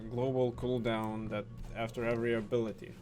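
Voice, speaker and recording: A man doing casual gameplay commentary, halting and repeating words, with frequent swearing. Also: medium pitch, flat delivery, over loud music. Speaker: gaming video host.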